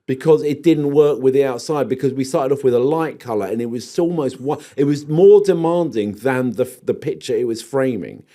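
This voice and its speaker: A man's voice: mid-range voice, moderate pace, hardly any pauses, dark tone. A man with an English accent co-hosting a podcast in casual chat, rambling and wandering off into digressions. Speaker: podcast co-host.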